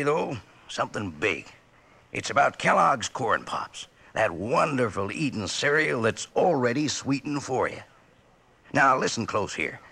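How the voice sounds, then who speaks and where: American male pitchman, lively swooping delivery, gravelly, folksy old radio advertising. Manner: folksy, jokey, drawn-out emphasis. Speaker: commercial pitchman. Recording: vintage radio recording.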